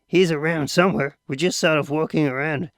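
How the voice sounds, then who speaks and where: A man's voice: on the high side, steady tone, fairly quick, smooth, no echo, studio quality, pitched above the performer's own voice, natural voice. A man reading aloud voices a talkative, nervous boy who rambles and defends himself. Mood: defensive.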